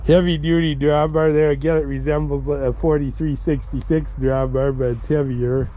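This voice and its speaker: A man's voice: a little high, flat delivery, moderate pace, phone-quality sound. A man giving plainspoken, folksy walkaround commentary on farm equipment, recorded outdoors on a handheld camera. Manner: folksy, plainspoken, fond of old machinery. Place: outdoors on an auction yard.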